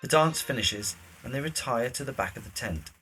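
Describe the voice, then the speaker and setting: A man narrates an audio drama in a medium-pitched voice. He reads scene directions in the present tense, with faint music in the recording. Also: lively intonation, normal speed, rough recording.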